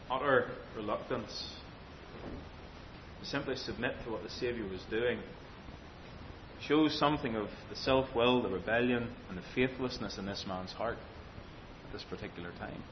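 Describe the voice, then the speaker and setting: A man delivers an expository sermon in an emphatic, deliberate way, leaning on repetition and rhetorical questions. The recording is dull and lo-fi, with a faint rumbling noise underneath. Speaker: preacher.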